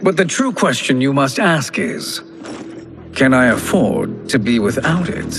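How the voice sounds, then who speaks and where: A man's voice: mid-range voice, sing-song, measured pace, slight background noise. An actor playing a sly, silver-tongued charlatan sorcerer with a theatrical salesman's patter in a video game cutscene. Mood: smooth salesmanship. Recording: loud music behind the voice.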